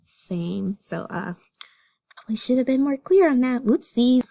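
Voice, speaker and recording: High-voiced woman reacting casually. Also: animated, normal speed, dark tone. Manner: incredulous, slangy. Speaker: amateur comic reader.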